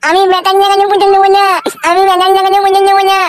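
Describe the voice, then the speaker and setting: Cartoon brat: little boy, extremely high nasal voice, garbled words, insistent whining. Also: monotone, very fast, faint music behind. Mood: tearful demanding. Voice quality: nasal, garbled baby talk. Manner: insistent, repetitive demands. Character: whiny, stubborn little boy throwing tantrums. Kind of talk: comedy cartoon voice.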